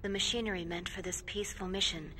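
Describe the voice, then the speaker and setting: An actress playing a reflective military pilot, narrating a video game war story in a somber, measured way. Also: female voice, mid-range voice, fairly even intonation, bright, forceful tone, a little hiss or hum, lightly acted.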